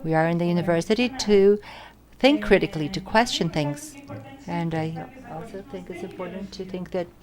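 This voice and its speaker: A woman interpreting audience questions live at an academic symposium. She speaks in short, halting phrases and often corrects herself mid-sentence. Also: on the low side, darkish tone. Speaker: simultaneous interpreter.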